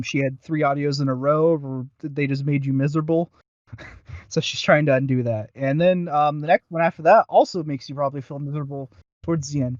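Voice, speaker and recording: A man's voice: mid-range voice, darkish tone, dry recording. A man co-hosting a casual review podcast, talking in a rambling, self-deprecating way. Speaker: podcast co-host.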